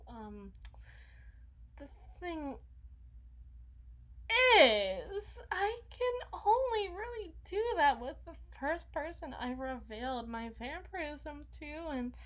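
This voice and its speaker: A woman's voice: high voice, fairly bright tone, full character voice. A woman voicing an awkward, needy, housebound young vampire in an ASMR roleplay, rambling and stammering as she corrects herself. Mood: nervous, flustered. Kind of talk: intimate ASMR roleplay monologue.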